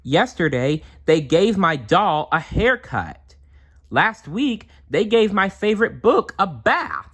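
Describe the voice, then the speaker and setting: Man acting a high-pitched, fed-up little girl. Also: sing-song, slow, fairly bright tone, breathy edge, heavily acted. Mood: exasperated, whiny. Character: frustrated young girl fed up with twin siblings.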